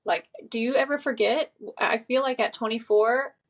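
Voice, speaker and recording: A woman's voice: fairly high pitch, normal speed. A woman in her twenties, a podcast guest, talking earnestly and reflectively in casual conversation. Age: 20s. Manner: reflective, earnest, many fillers.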